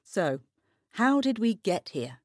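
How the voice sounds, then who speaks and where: An actor playing a composed female television news anchor, presenting formally in a measured, grave manner. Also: medium pitch, very animated, a little mellow, dry recording, studio quality.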